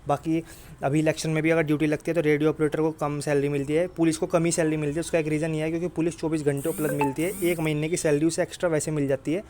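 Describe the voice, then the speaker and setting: A man's voice: fairly high pitch, level tone, fast talker, a little mellow, slight background noise. A man from India with a North Indian accent, giving an informal explainer straight to viewers in a blunt, insistent manner, repeating his points and checking they follow. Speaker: exam coaching YouTuber.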